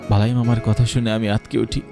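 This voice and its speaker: A man's voice: fairly low pitch, level tone, fast, over music, natural voice. A man voicing a frightened young village boy who tells his ghost story in an earnest, dramatic first-person style for a horror cartoon. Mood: shocked.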